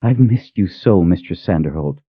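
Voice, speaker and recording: A man voicing a tired but determined young noblewoman who speaks gently and firmly. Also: low-pitched, expressive, normal speed, breathy edge, no room sound. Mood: warm. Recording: narrow tinny phone-like sound.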